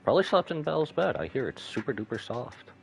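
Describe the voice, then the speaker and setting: A man's voice: mid-range voice, very animated, fast talker, gravelly. A man on a live game stream, reading dialogue aloud and adding his own casual comments. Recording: music behind the voice.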